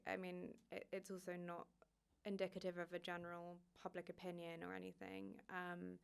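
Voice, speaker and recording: A woman's voice: on the low side, vocal fry, a bit bright, smooth. A woman, a migration journalist, answering interview questions thoughtfully, hedging with fillers and restarts.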